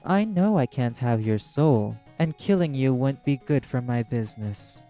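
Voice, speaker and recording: Guy doing proud old arch-demon running a casino, smooth mellow tone, haughty theatrical drawl, music playing behind. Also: mid-range voice, lively intonation, unhurried, voice pushed up. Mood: sly, bargaining. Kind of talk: scripted audio roleplay monologue.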